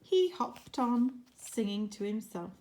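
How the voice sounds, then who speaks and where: A woman reads a picture book aloud to children. Her voice is high and she takes her time, giving the story expression, dramatic pauses and small chatty asides. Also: lively intonation, mellow.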